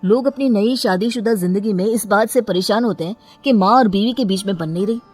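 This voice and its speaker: A woman's voice: medium pitch, brisk, darkish tone, lightly acted. A woman voicing a sulky young newlywed husband who feels neglected, in an audio story. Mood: aggrieved. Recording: music under the voice.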